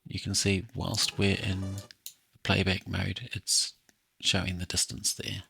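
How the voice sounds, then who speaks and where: Gravelly man thinking aloud while coding. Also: deep voice, monotone, slow, sharp tone, slight background noise. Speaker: app developer hosting a coding session. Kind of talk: casual think-aloud screen-recording tutorial.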